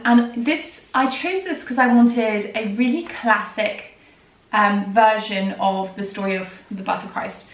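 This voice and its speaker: A woman in her thirties, hoarse from a recent illness, chatting casually and enthusiastically about children's books with many ums and soft laughs. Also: on the high side. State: hoarse, recovering from a lost voice. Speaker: book reviewer and vlogger. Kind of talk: casual book review chat. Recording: roomy and distant from the mic, faint noise.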